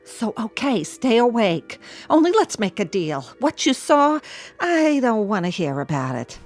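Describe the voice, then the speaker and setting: An actress in a radio drama playing a plain-spoken, wisecracking housewife with a sardonic, folksy manner. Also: female voice, on the high side, sing-song, unhurried, faint music behind. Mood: exasperated. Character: wisecracking, plain-spoken working-class housewife, exasperated with husband.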